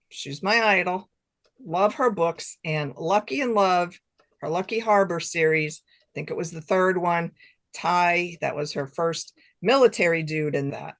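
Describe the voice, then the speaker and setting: A woman's voice: fairly low pitch, measured pace. A woman author chatting casually as an interview guest, enthusiastic and repeating phrases for emphasis. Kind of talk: casual chat.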